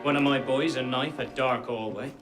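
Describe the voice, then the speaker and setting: An actor playing a callous, thuggish, murderous gang boss in a video game cutscene, speaking bluntly. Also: male voice, mid-range voice, steady tone, normal speed, music in the background, natural voice.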